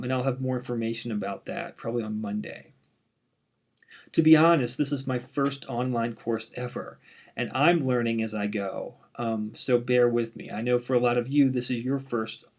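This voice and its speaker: Man with a mild stutter, casual and relaxed. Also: mid-range voice. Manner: relaxed, frequent "um" fillers, candid. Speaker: university instructor. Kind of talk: casual course introduction.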